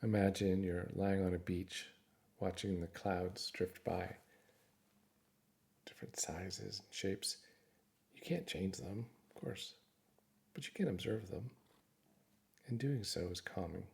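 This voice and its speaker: A man leads a guided meditation in a low voice with a lot of creak and gravel in it. He gives gentle instructions and speaks reassuringly, like a guide walking someone through calming exercises. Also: slow, long pauses.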